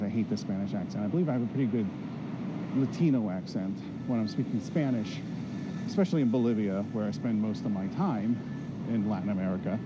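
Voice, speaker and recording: A man vlogging while walking through a city street, thinking aloud in a casual, rambling way with plenty of fillers. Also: fairly low pitch. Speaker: travel vlogger. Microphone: handheld camera while walking. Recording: dull lo-fi street recording with loud traffic. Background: loud traffic noise.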